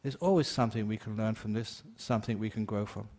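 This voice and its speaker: An older English-born Buddhist monk giving a chatty, joking Dharma talk, answering questions from the floor and laughing often. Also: male voice, lowish voice, fast, a little mellow.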